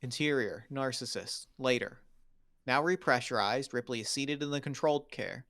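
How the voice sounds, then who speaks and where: A man's voice: mid-range voice, moderate pace, fairly bright tone, dry recording. Man reading screenplay action, dry sound. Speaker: stage directions reader at a table read. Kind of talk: dramatic screenplay narration.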